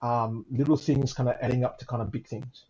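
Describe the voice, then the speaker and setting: A man with a medium-pitched voice tells a personal account in a casual, conversational way. He often doubles back on words and checks in with short tag questions as he explains. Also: steady tone, fairly quick, mellow, muffled recording. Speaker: guest recounting his own recording.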